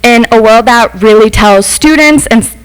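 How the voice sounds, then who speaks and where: A woman's voice: on the high side, normal speed, clean tone, rough recording. A young adult woman, a former student and substitute teacher, making a semi-prepared public comment in a meeting room. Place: school board meeting room.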